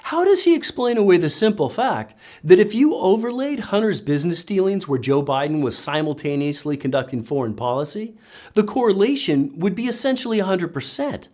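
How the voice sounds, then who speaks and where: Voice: medium pitch, very animated, a little fast, darkish tone. A narrator reading scripted political commentary with pointed, sardonic emphasis.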